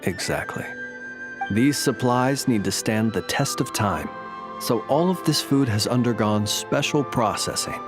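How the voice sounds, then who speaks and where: A gentle, modest gentleman from a video game, played by a male actor. His mid-pitched voice is polite and measured, with wry understatement. Music is clearly heard behind him. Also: natural voice. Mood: wryly amused. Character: courteous, gentle male lantern bearer, modest and wryly humorous. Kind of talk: video game character dialogue, storytelling.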